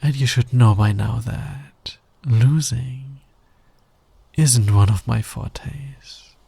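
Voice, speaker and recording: Man playing a husky, slow-talking flirty villain. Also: medium pitch, dark tone, no echo, exaggerated voice. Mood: confident, challenging. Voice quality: breathy, husky, often dropping to a whisper. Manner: teasing, slow, deliberate, dominant. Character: flirty, smug male fantasy villain, patient, smitten with hero. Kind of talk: intimate ASMR roleplay acting. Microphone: close microphone, dry sound.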